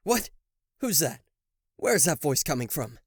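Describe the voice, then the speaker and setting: A man's voice: a little high, big pitch swings, a little slow, husky, dry recording, clean studio sound, full character voice. A man voicing a theatrical, sarcastic demon king of hell in a roleplay audio drama, proud and showy in manner. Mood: showy, puzzled. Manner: sarcastic, showy, stammering in disbelief. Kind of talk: roleplay audio drama acting addressed to the listener.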